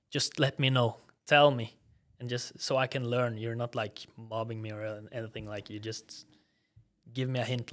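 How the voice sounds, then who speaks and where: A young man from Norway with a strong Norwegian accent, a guest chatting casually on a podcast, relaxed and full of "like" and "yeah". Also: mid-range voice. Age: young adult. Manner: relaxed, fills speech with "like" and "yeah". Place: glass-walled office studio. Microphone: desk podcast mic with headphones.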